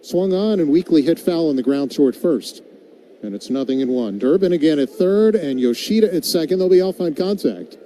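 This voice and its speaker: A man's voice: on the high side, animated, a little fast, warm tone, poor audio. A man doing live radio play-by-play commentary of a baseball game as the announcer. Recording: background noise of an outdoor ballpark.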